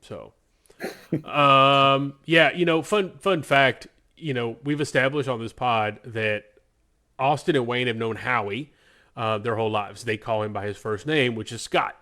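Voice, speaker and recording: A man's voice: mid-range voice, unhurried, bright, forceful tone. A man hosting a podcast in a loose, informal, conversational way, rambling as he goes. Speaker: podcast host. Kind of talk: casual podcast chat.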